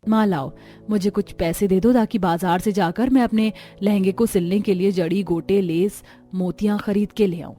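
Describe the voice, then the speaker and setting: A woman voicing a humble, gentle young daughter from a poor family in a cartoon story, speaking softly and earnestly. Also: medium pitch, steady tone, normal speed, soft background music, lightly acted. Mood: earnest, hopeful.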